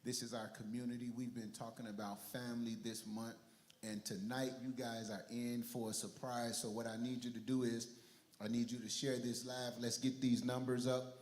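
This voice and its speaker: A man hosting a church community talk before a studio audience, energetic and rallying online viewers and the crowd. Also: medium pitch, moderate pace. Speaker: church livestream host. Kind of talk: hyped livestream hosting.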